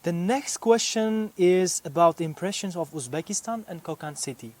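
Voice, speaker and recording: A man hosts a university interview, putting his questions politely and nudging the guest along. His voice sits fairly high for a man. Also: expressive, moderate pace, dry recording. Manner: polite, encouraging, frequent backchannel.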